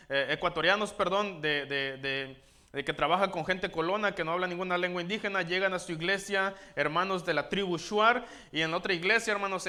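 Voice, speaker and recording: A man's voice: high voice, bright, forceful tone. A missionary man making an earnest appeal to a church congregation, calling them brothers in nearly every sentence. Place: church sanctuary.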